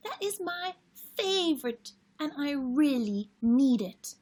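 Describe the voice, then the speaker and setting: A woman voicing an excitable little girl who loves bugs, pleading in a high sing-song voice in a storybook read-aloud. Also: slow, exaggerated voice. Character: excitable little girl, bug-mad and insistent.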